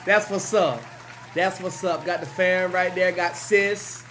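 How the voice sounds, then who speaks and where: A man hosting a reaction channel, talking loosely in slang and breaking into quick hyped outbursts between calmer remarks. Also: high-pitched, a little hiss or hum. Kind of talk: casual music video reaction commentary.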